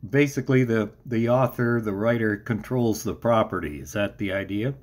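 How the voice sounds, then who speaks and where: A man's voice: fairly low pitch, normal speed, noisy background. A man hosting an interview in a casual, conversational style, feeling his way through loosely phrased questions and remarks. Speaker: interview host.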